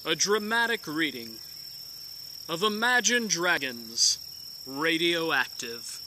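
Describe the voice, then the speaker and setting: A young man doing a slow, overly grand dramatic reading outdoors by the woods. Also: fairly high pitch, animated, background noise. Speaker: dramatic reader. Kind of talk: mock-dramatic reading of song lyrics.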